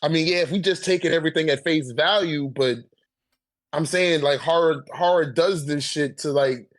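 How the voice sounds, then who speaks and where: A man with a high-pitched voice debates in a loose, conversational way. His talk is thick with slang and swearing, and he keeps circling back to check that he is understood. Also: moderate pace. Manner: slangy, profane, repeats "you know what I'm saying". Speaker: debater on a manga ranking podcast. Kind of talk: casual debate chat.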